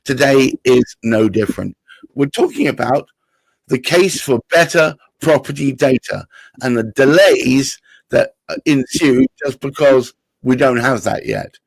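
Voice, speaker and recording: Slightly raspy man hosting. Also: mid-range voice, animated, a little slow, dry recording, low-quality recording. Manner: jokey, playful asides. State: getting over a cold. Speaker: live stream host. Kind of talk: casual podcast chat.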